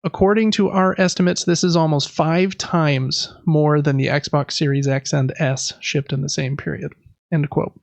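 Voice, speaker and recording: A man's voice: a little high, steady tone, normal speed, gravelly, no room sound. A man hosting a podcast, giving casual, conversational news commentary with informal, slangy asides. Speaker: podcast host.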